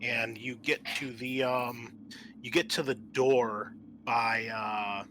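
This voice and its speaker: Bright-voiced male game master, halting delivery. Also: mid-range voice, fairly even intonation, slight background noise. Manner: halting, pausing mid-sentence. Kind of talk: narrating a tabletop roleplaying game.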